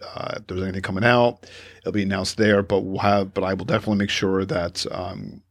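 Low-voiced man rambling on a podcast. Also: flat delivery, brisk, no room sound. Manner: rambling, lots of fillers and self-corrections; laughs often while telling stories. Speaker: podcast host. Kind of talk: casual podcast monologue.

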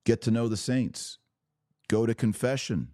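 A man's voice: mid-range voice, unhurried, dark tone, no room sound, clean studio sound. An American man hosting a podcast, giving impassioned off-the-cuff religious commentary in a preachy, emphatic way with punchy phrases. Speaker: podcast host taking viewer questions.

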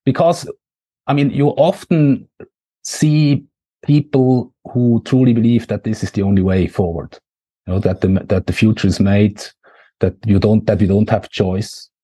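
Husky Swiss-accented man, halting. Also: on the low side, steady tone, dark tone, poor audio. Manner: halting, many fillers and restarts. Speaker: guest. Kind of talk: conversational interview.

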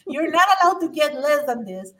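A woman's voice: high voice, big pitch swings, normal speed, fairly bright tone, far from the mic, slight background noise. A middle-aged woman from Mexico, earnestly telling her own story as a podcast guest, repeating words as she restarts sentences. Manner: earnest, emphatic, with frequent restarts. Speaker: guest, former adult learner. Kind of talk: personal testimony in conversation.

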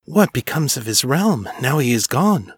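A man voicing a brooding male dark lord who rants in anguish and breaks into sobs, in a storybook reading. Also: mid-range voice, very animated, a little slow, husky, no echo, studio quality, voice pushed up. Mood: stunned.

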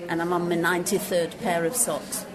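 Lady in her sixties, kinda low voice, matter-of-fact, crowd around. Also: little pitch movement, fairly quick. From Leeds, England. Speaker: guest, knitter and spinner. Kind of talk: casual interview chat. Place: outdoor festival. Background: crowd chatter.

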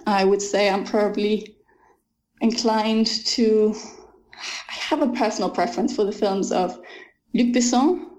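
A young woman with a middle-range voice talks about her writing in an interview. She answers thoughtfully and enthusiastically, often pausing on an um before she goes on. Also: medium pitch, a little mellow, low-quality recording. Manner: thoughtful and enthusiastic, with frequent ums. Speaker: author being interviewed.

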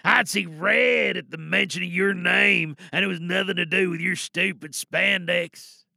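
Man performing a high, drawling, theatrical supervillain. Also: measured pace, gravelly, sharp tone, no echo, clean studio sound, heavily acted. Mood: confiding, bitter. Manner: theatrical, drawling, savoring each phrase. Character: flamboyant, gloating supervillain, secretly smitten with captive hero. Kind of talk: dramatic villain monologue for audio drama.

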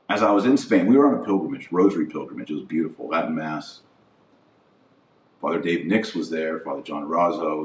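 Male podcast host, low register, emphatic and deliberate speechmaking, echoing room. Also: darkish tone. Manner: emphatic, deliberate, repeats phrases for weight. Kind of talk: political-religious monologue to an audience. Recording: roomy echo, distant microphone.

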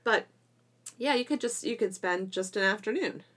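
A woman hosting a casual educational podcast, chatting in an animated way and quick to laugh. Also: mid-range voice. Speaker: podcast host and homeschool teacher.

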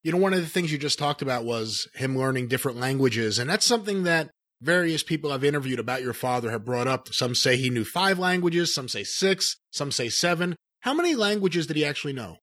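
A man's voice: mid-range voice, lively intonation, fairly quick, fairly bright tone. A man interviewing a guest and asking curious follow-up questions.

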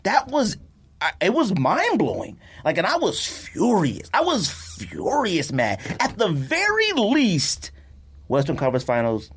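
An American man hosting a podcast, talking sports casually in an animated, emphatic, exasperated rant with a husky voice. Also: high-pitched, measured pace. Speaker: sports and sneaker podcast host.

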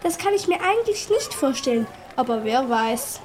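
A woman's voice: high voice, big pitch swings, normal speed, a little mellow, a little hiss or hum, poor audio. A female performer playing a practical, inquisitive young girl sleuth in a children's radio play. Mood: curious.

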